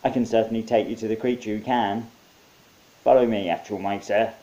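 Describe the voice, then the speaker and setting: A man voicing a gruff but deferential soldier in a story, plain-spoken and given to orders. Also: lowish voice, steady tone, brisk, dark tone, close to an everyday voice, low-quality recording.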